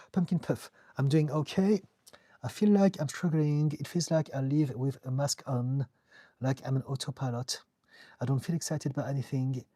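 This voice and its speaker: A middle-aged man from France with a French accent, calmly reading viewer questions and answering them as a therapist on a live stream. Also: medium pitch, slightly rough, no echo. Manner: calm, reassuring, reads out viewer comments with light laughs. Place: home in the UK.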